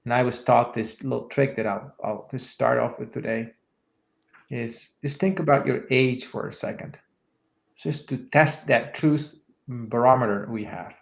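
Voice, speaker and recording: A man in his fifties co-hosting a podcast, calmly talking listeners through a reflective exercise. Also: mid-range voice, a little slow, vocal fry. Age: 50s. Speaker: podcast co-host, leadership coach. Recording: echoey room, dull lo-fi sound.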